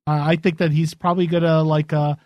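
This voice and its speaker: High-voiced man in comedy banter. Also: little pitch movement, fairly quick, darkish tone, dry recording, highs cut off. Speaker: show co-host. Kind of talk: casual improvised comedy banter.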